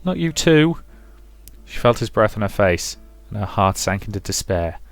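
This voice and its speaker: Low-voiced man narrating over music. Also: fairly even intonation, moderate pace, creaky, dry recording. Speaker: let's play narrator. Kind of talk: reading visual novel narration aloud.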